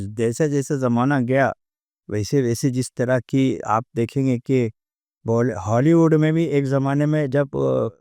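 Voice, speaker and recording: Smooth, clear-voiced Indian man explaining his views. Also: mid-range voice, warm tone, dry recording, clean studio sound. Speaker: interview guest, author. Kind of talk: conversational opinion and explanation.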